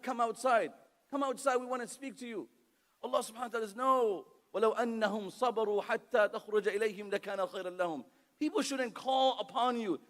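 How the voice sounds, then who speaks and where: An impassioned male preacher with a high voice. His sermon rings with the long reverb of a large hall, and his Arabic recitation comes fast and fluent. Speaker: Islamic preacher. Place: lecture hall.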